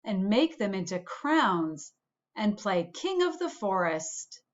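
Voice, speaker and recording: A woman's voice: mid-range voice, very animated, takes it slowly, muffled recording. A woman reading a storybook aloud to children, like a school principal addressing pupils.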